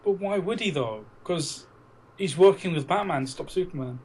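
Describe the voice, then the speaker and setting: A man's voice: sits high, expressive, moderate pace, darkish tone, slightly rough. A man co-hosting a casual film podcast, blunt and teasing, with plenty of swearing. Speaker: podcast co-host. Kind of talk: casual banter about films.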